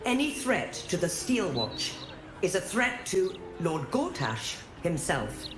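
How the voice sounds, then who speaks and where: A woman's voice: fairly low pitch, big pitch swings, takes it slowly. A woman voicing a cold, official robotic war machine in a video game, making formal authoritarian pronouncements. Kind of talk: scripted video game acting. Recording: echoing voice under background music.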